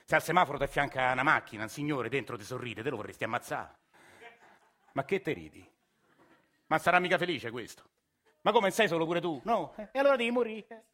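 A man's voice: fairly high pitch, animated, a little fast, pauses often, fairly bright tone. An actor playing a lonely, bitter working-class man with a Roman accent, delivering a theatre monologue that swings from muttering to sarcastic outbursts. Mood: sarcastic rant. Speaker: stage actor. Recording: live stage recording over guitar. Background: guitar music.

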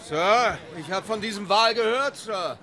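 A man's voice: high voice, animated, a little slow, pushed and bright, raised voice, some chatter behind, full character voice. An actor playing a sober first mate addressing his captain in a radio drama. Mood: sober, probing.

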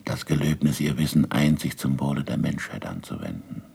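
An old, bitter scientist in a radio drama. The voice is extremely low and very husky, thick with creak. He speaks deliberately and weightily, as someone disillusioned who accuses himself. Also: animated, mellow, heavily acted. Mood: bitter, solemn. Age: older. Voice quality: gravelly, husky. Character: ageing, disillusioned scientist, bitter, self-accusing, fond of food. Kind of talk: German radio play acting.

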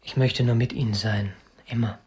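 A young kidnapper in a radio play, acted by a man. He is uptight and obsessive, answering curtly in a mid-pitched voice. He sounds stiff, stubborn and always on the defensive. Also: fairly even intonation, moderate pace, darkish tone, natural voice. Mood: sullen, stubborn. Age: young adult. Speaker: actor in a radio drama. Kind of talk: German radio drama dialogue.

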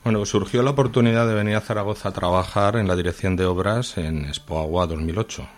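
A man with a low voice and a Madrid accent speaks as a guest in an informal radio interview. He picks his words in a halting, measured way. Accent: Madrid Spanish. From Madrid, Spain. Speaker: interviewed guest, new radio show contributor.

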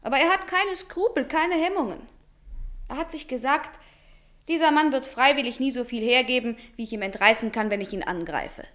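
A fairly high young female voice in a radio drama. She comes across as earnest and frank, formal in her wording, with an uneasy edge. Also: brisk, a bit bright. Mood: urgent, warning. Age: young adult. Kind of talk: German radio play acting.

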